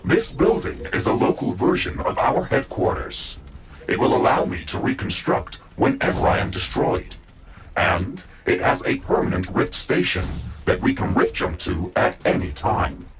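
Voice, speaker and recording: Deep robotic AI companion. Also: male voice, very animated, vocal fry, exaggerated voice, rough recording. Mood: matter-of-fact. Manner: formal, measured, informative. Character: calm, dutiful male AI of a mecha suit. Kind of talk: scripted video game dialogue.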